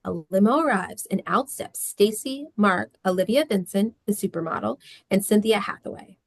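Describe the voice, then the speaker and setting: A woman's voice: mid-range voice, normal speed, creaky, no echo. A woman reading scripted stage directions as narrator of a screenplay table read.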